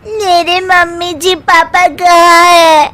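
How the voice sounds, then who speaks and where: A woman voicing a bratty cartoon young wife who pretends to be a toddler, with drawn-out, tearful, childish whining. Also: extremely high pitch, flat delivery, sharp tone, voice pushed up, exaggerated voice. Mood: tearful, demanding. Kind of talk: cartoon moral story character acting.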